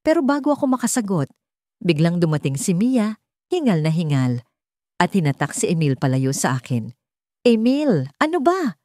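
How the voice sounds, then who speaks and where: A woman's voice: sits low, lively intonation, normal speed, warm tone, no room sound, studio quality. A woman narrating a dramatic first-person romance story.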